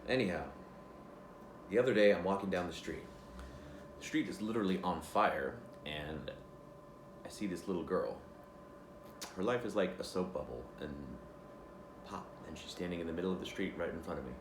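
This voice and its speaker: A man's voice: low voice, moderate pace, pauses often, noisy background, natural voice. An actor playing the profane, guilt-ridden ghost of a male tiger in a stage monologue, telling his story with sardonic humor. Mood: wry. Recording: echoey room.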